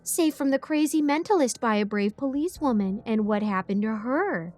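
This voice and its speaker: A woman's voice: on the high side, lively intonation, a little mellow, faint music behind, natural voice. Woman voicing a gloating, drawling demon villainess. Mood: mocking. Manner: mocking, drawn-out taunts. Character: gloating sinister demon in a woman's body, cruelly taunting.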